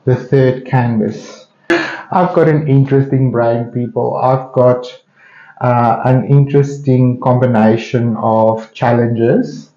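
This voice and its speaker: A man with an Afrikaans South African accent talks casually as he works at his art. His delivery is slow and mellow, with pauses as he thinks aloud. The sound is roomy, as if in an indoor studio. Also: medium pitch. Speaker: painter hosting an open studio session. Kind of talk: casual musing while painting. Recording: roomy, slightly dull sound.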